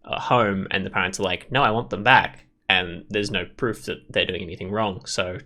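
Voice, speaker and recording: A man hosts a visual novel playthrough in a fairly low, bright voice. He reads the text aloud and drifts into rambling, opinionated commentary. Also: fairly even intonation, moderate pace. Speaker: gameplay video host.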